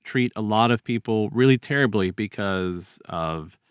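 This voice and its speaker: A man in a casual, reflective podcast discussion, speaking haltingly and repeating words as he builds a point. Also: on the low side, clean tone, no echo, clean studio sound.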